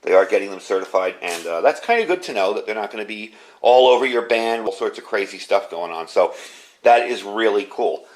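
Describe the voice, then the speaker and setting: A man's voice: on the low side, lively intonation, a little fast. A man giving a casual product review and demonstration, explaining things informally and with enthusiasm. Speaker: ham radio product reviewer.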